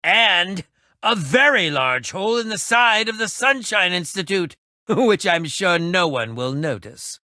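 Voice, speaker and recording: A man's voice: sits high, very animated, unhurried, pushed and bright, no room sound, clean studio sound, full character voice. An actor playing an eccentric, self-important man who fancies himself a ninja in a video game, speaking in a pompous, dramatic, wordy manner. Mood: theatrical. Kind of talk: animated video game voice acting.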